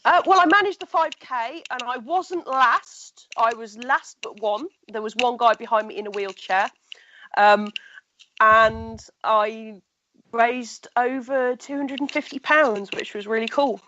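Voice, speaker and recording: A woman's voice: a little high, unhurried, sharp tone, lo-fi sound, slight background noise. A woman guest with a blocked, nasal voice, chatting casually in an online radio interview.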